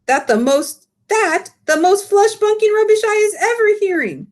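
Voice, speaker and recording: A woman voicing a big, friendly old male giant, excitable and indignant, with mangled grammar and invented words, in a storybook read-aloud. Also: very high-pitched, normal speed, voice pushed up.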